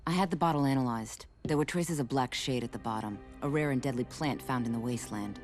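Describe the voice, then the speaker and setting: An actress playing a tough, distrustful racer in a video game, cool and blunt. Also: female voice, a bass voice, fast. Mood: grim. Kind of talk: video game cutscene acting. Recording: music behind the voice.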